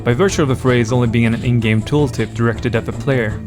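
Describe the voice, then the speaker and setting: A man narrates a scripted lore piece, dropping wry jokes along the way. His voice sits in an ordinary middle register. Music plays clearly under him. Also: medium pitch, fairly even intonation, a little fast. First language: Swedish. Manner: wry, playful asides. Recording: voice-over with music bed.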